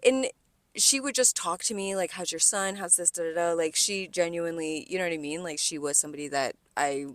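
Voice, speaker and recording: An American woman co-hosting a casual podcast, telling stories in an animated, sweary way and acting out conversations. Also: on the low side, a little fast, no echo. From California, United States. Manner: animated, sweary, quotes conversations in acted voices. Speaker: podcast co-host, restaurant server. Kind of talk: casual storytelling chat.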